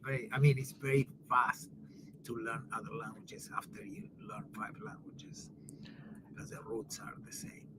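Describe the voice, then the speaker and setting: A middle-aged man in casual conversation, talking in halting starts and often clearing his throat. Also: medium pitch, fairly even intonation, bright, forceful tone, whispered, low-quality recording.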